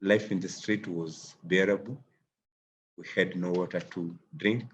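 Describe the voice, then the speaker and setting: A man from Kenya, working as a child mentor, speaks haltingly with frequent fillers as he tells his life story at a seminar. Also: low voice, fairly even intonation, slight background noise. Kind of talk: personal testimony at a seminar.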